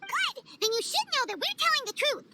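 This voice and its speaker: A woman voicing a tiny, childlike, excitable fairy companion in a video game, with a squeaky voice and exclamatory delivery. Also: a little fast, heavy background noise. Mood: excited, insistent. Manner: exclamatory, refers to herself in third person. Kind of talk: scripted video game dialogue.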